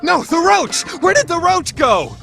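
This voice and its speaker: A man's voice: very high-pitched, very animated, unhurried, gravelly, music in the background, full character voice. A man playing a proud but panicky teenage student council president in an anime radio play, melodramatic in delivery. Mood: panicked, exasperated. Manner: melodramatic, swinging from grave to yelping. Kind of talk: anime fan dub radio play acting.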